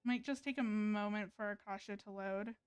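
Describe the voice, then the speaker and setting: A woman's voice: medium pitch, steady tone, moderate pace, darkish tone, clear, resonant tone, dry recording. A woman live streamer chatting casually to viewers while she plays a game, hesitant and thinking aloud as she goes.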